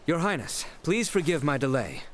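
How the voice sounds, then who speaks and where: An actor playing a polite, easily flustered young royal retainer in a video game, formal and deferential. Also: male voice, a little high, sing-song, normal speed, a little hiss or hum. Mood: apologetic. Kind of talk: video game cutscene acting.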